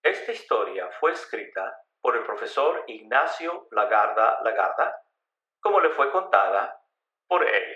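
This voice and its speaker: Lively, bright male narrator in an echoey room. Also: medium pitch, unhurried, lo-fi sound. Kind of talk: dramatic scripted biographical narration. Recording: roomy, echoing voice recording.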